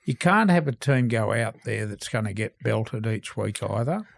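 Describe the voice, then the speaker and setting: A man's voice: fairly low pitch, animated, moderate pace, creaky, dry recording. An Australian man co-hosting a sports podcast, giving blunt, opinionated views in a casual style. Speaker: podcast co-host, sports journalist.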